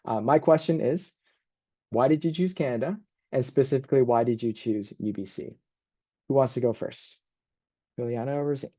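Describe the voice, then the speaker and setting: A man's voice: medium pitch, normal speed, pauses often, mellow, dry recording, lo-fi sound. A man working as a university admissions advisor, hosting and presenting an informal online information session.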